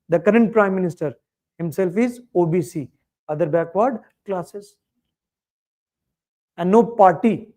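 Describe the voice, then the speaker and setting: High-voiced Indian English lecturer. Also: male voice, dark tone. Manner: emphatic, asks rhetorical questions and answers them. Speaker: teacher. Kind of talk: coaching class lecture.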